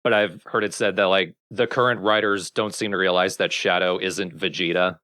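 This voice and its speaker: Male let's player, fairly low pitch, relaxed conversational game commentary. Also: moderate pace. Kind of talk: casual game commentary chat.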